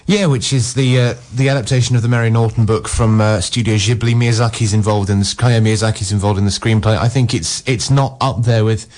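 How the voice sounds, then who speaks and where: A man's voice: on the low side, fairly even intonation, brisk, few pauses, darkish tone. A man co-hosting a radio film show who gives wry, opinionated reviews full of "you know" and "sort of". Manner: opinionated, wry, with frequent filler words. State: groggy. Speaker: film critic co-host.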